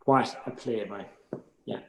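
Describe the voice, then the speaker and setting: Irish male workshop speaker, lowish creaky voice, chatty and meandering, roomy echoey sound. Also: unhurried, a little mellow, slight background noise. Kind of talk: informal workshop talk.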